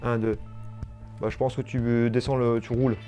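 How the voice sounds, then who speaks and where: A man's voice: medium pitch, little pitch movement, moderate pace, dark tone, faint music behind. A man hosting an informal product demo, chatting unscripted and often hesitating and repeating himself. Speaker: video host.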